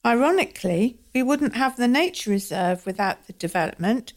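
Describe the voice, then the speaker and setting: A woman's voice: a little high, lively intonation, moderate pace, lightly acted. A woman acting in a radio play as a thoughtful townswoman observing her home. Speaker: community theatre actor.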